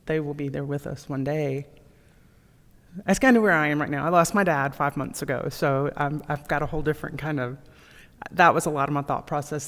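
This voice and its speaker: A woman's voice: low-pitched, big-room sound. A woman in her forties on a casual church podcast, speaking in a reflective way and laughing easily. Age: 40s.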